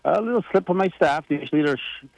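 A man in his sixties talks in a loose, rambling way, full of fillers and restarts. His voice has a gravelly, fried edge, and the sound is dry and close. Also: fairly high pitch, lively intonation, fast, no room sound, highs cut off. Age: 60s. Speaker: president of a government, radio guest. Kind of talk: casual radio chat.

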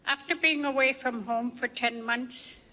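Brief: older woman from the Canadian Arctic, guest speaker, unhurried testimony, higher bright voice, echoey hall. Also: fairly even intonation. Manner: slow, measured storytelling. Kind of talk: personal testimony speech.

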